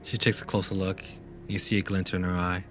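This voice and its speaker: A man's voice: low-pitched, level tone, fairly quick, over music. A man as game master, narrating casually and chatting with his players.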